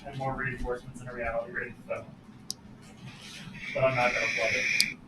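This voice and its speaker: A man at a tabletop game talks quickly in a dry, matter-of-fact way. He sits away from the mic, so his voice sounds distant. The room is echoey, and clear background noise runs under him. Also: medium pitch, monotone. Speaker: player. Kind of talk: casual tabletop game chat.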